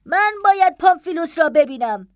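Woman acting a high-pitched, pleading, distraught servant woman. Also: no room sound, studio quality, lightly acted. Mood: desperate, insistent. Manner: impatient, urgent, voice climbing louder. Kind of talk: dramatized audiobook dialogue.

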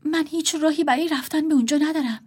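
A woman's voice: sits high, steady tone, darkish tone, voice pushed up, close to an everyday voice. A woman voicing a desperate pleading young sister. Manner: pleading, earnest.

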